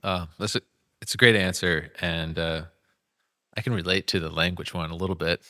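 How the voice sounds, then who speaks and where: A man hosting an interview, asking rambling questions with enthusiasm and quick laughs. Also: low voice, fairly even intonation, pushed and bright, no echo, studio quality. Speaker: podcast host.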